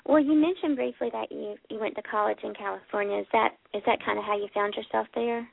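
A woman's voice: fairly high pitch, fairly even intonation, fast talker, vocal fry, husky, no room sound. A woman co-hosting a casual interview in an easygoing, agreeable way. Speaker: co-host. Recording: tinny phone-like line with faint noise.

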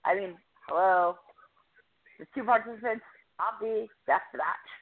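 A woman reports on an indie wrestling show over a phone line, and the sound is thin and tinny. She talks in a chatty, enthusiastic way and is quick to make fun of herself. Her voice sits at a middling pitch. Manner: chatty, enthusiastic, self-deprecating. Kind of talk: casual podcast recap.

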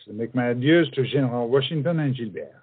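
A man's voice: mid-range voice, fast, narrow, tinny audio, music in the background, natural voice. A man playing a weary, proud French gallant, formal and melancholy, in a period stage comedy. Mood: weary, resolved.

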